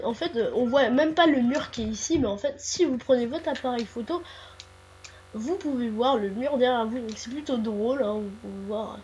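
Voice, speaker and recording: A woman's voice: fairly high pitch, darkish tone, slight background noise. A woman with a stuffy, nasal voice from a cold, commenting a game walkthrough hesitantly, with many fillers and restarts. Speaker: gameplay commentator.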